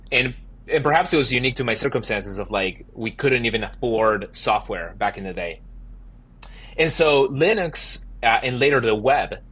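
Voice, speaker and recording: A man with an Argentinian accent, a startup founder answering podcast interview questions in animated, emphatic bursts. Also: mid-range voice, breathy edge.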